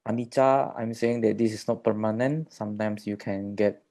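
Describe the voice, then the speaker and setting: A man from Indonesia, a meditation student asking hesitant, halting questions over a video call. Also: fairly low pitch, fairly even intonation, warm tone.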